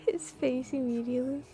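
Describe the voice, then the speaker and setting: A high-voiced woman streaming a game, with a creaky edge. She talks slowly and casually, musing and reacting candidly, often trailing into a murmur. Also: dark tone. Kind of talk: casual chat and reactions while playing.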